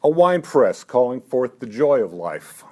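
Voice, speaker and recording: A man in his seventies at a live poetry reading, talking haltingly between poems with dry, self-mocking asides and lifting his voice for the verse. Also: mid-range voice, sing-song, darkish tone. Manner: halting talk with many "uh" fillers. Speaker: poet.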